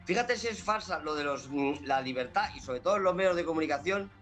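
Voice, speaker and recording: A man's voice: on the high side, animated, brisk, a bit bright, faint music behind. A man making an emphatic, argumentative political commentary.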